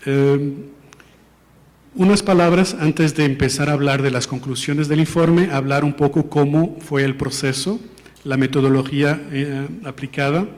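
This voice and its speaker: Presenter, male, Portuguese accent, fairly high voice, halting and careful, recorded in a reverberant hall. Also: fairly even intonation, a little mellow. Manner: careful, hesitant, frequent fillers and self-corrections. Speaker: presenter of an education policy report. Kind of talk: formal presentation to an audience. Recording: long reverb of a large hall.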